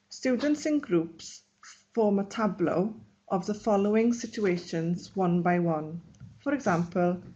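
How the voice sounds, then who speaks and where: A woman teacher reading out a webinar presentation in a measured, instructional way. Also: lowish voice, dark tone, highs cut off. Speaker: Welsh language teacher. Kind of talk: read-aloud webinar presentation.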